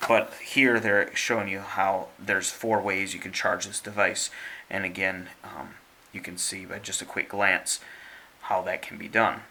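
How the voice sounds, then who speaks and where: A man giving casual unboxing narration as a product reviewer, explaining things in a measured, step-by-step way. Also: fairly low pitch, sharp tone. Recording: faint background hiss.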